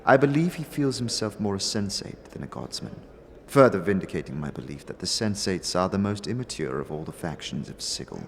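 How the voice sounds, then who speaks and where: A man's voice: low-pitched, animated, slight background noise. Man voicing a solemn mystic noblewoman with sweeping intonation. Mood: lofty, didactic. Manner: measured, formal, dramatic pauses. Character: solemn, aloof mystic noblewoman, grave and scornful.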